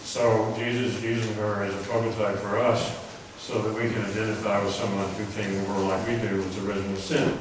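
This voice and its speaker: A soft-spoken man giving a rambling religious talk to an audience, often clearing his throat. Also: on the low side, flat delivery, a little fast, hardly any pauses, noisy background. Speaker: religious speaker. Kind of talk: informal lecture to an audience. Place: meeting hall before a live audience. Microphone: microphone through a speaker system. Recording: echoey hall, heard from far off.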